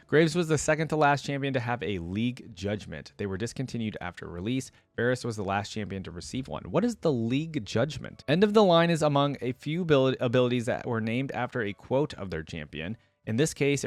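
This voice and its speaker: Guy doing a chill reaction video, thinking out loud, informal, average pitch, no echo. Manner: conversational, musing, informal. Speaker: YouTube host. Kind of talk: casual reaction commentary, thinking aloud and reading trivia.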